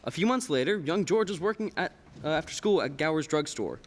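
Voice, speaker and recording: Man playing radio play narrator, scripted storytelling, fairly high voice, reverberant hall. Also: expressive, fairly quick. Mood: explanatory. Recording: reverberant hall stage recording.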